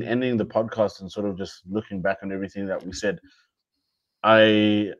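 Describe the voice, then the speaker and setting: A young man co-hosting a podcast, talking things over in a casual, thoughtful way, often restarting his phrases and dropping slang. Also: low voice. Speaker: podcast co-host. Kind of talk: casual reflective chat. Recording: dull lo-fi sound.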